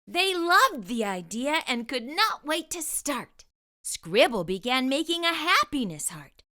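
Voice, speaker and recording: A woman's voice: high-pitched, sharp tone. A woman narrating a children's storybook expressively, enunciating for young listeners as a storytime host.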